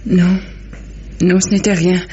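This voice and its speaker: Exhausted, frightened female victim, old radio melodrama. Plaintive, halting lines, dull slightly noisy sound. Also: fairly low pitch, level tone, dark tone. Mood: subdued. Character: frightened, weakened woman, starved victim of her husband. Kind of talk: old French radio drama acting. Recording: dull old radio recording, faint noise.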